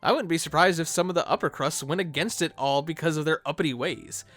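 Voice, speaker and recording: A man's voice: on the high side, fast, fairly bright tone, faint music behind. A man narrating an informal fan video essay, with playful, conversational asides.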